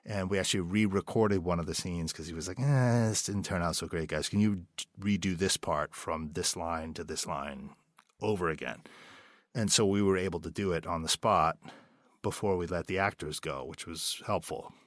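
A man's voice: sits low, normal speed, no room sound, studio quality. A man being interviewed about producing an audio drama, talking in a rambling, hesitant way. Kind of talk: interview guest.

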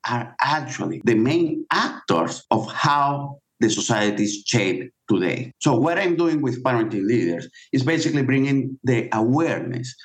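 A man's voice: medium pitch, lively intonation, moderate pace, hardly any pauses, low-quality recording. A middle-aged man who is not a native English speaker, answering interview questions as a guest author in an earnest, explanatory way.

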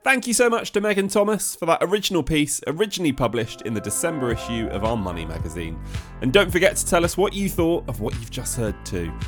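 Lively higher-pitched male podcast host. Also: quick pace. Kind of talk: scripted podcast sign-off addressed to listeners. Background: music.

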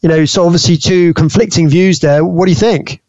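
A man's voice: fairly high pitch, fairly quick, a little mellow, no room sound, muffled recording. A man hosting a podcast discussion in a hesitant, conversational way. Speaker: podcast host.

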